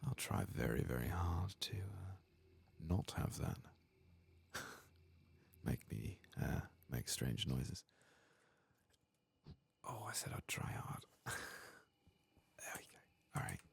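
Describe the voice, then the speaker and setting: Man, extremely low pitch, heavy vocal fry, varied intonation, soft murmured ASMR game narration, half-whispered. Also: very animated, takes it slowly, long pauses. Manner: murmuring, drifting between soft speech and whisper. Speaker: ASMR gaming streamer.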